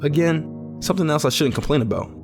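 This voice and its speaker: A man's voice: fairly high pitch, lively intonation, fairly quick, gravelly. Man playing a subdued young betting clerk, music underneath. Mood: reflective. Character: weary, reflective young man working a post-apocalyptic betting booth. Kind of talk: audio drama narration and dialogue.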